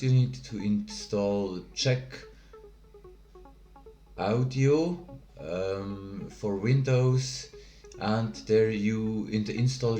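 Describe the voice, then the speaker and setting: A man with a Swiss German accent giving a software tutorial, halting and hesitant, with many fillers. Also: lowish voice, reverberant. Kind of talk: screen-recorded software tutorial.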